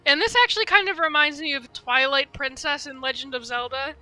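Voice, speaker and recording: Female live game commentator, high voice, rambling fan musings, faint music underneath. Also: brisk, sharp tone, low-quality recording. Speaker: game streamer.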